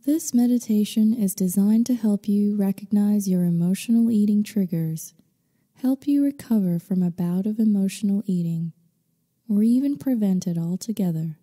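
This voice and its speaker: A woman guiding a meditation in slow scripted narration, with a soothing, unhurried, gently instructing manner. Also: medium pitch. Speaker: meditation guide. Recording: long reverberant hall-like echo.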